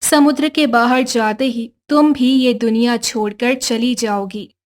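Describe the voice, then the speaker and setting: A woman's voice: on the high side, fairly even intonation, unhurried, natural voice. A woman voicing a wise red fairy in a cartoon, speaking in a solemn, commanding way. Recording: faint background music.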